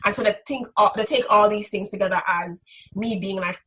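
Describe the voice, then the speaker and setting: A woman's voice: mid-range voice, brisk, fairly bright tone. A young woman speaking candidly and emphatically in a reflective interview. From Caribbean islands. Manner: strident, emphatic. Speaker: interviewee, graduate student instructor. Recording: background noise with clicks.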